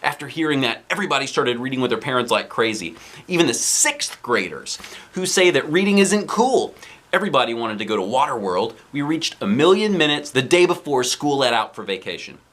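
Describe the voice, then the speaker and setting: A man reading a children's storybook aloud in an expressive, animated narrating style. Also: medium pitch, brisk. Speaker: storybook reader, dad.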